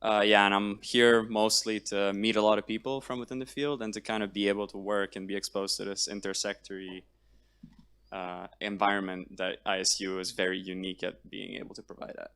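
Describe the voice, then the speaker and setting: Young man with a flat, smooth voice. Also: lowish voice, a little fast. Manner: casual, relaxed phrasing. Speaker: incoming student. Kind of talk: self-introduction at a ceremony.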